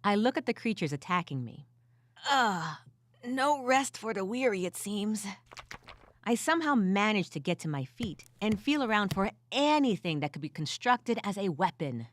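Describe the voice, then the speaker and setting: A woman's voice: medium pitch, sing-song, normal speed, lightly acted. A woman voicing a weary but gritty furred female adventurer who tells her story in the first person with wry asides, in an audio drama style. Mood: weary, pained.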